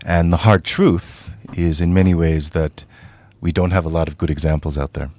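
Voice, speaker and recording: A man speaking as an author guest on a radio interview, thoughtful and measured, with frequent pauses. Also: deep voice, fairly even intonation, a little mellow. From United States.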